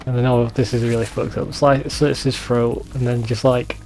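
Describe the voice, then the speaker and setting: A man's voice: mid-range voice, level tone, moderate pace, darkish tone, a little hiss or hum. A man hosting a let's play, giving casual game commentary with wry, sweary asides.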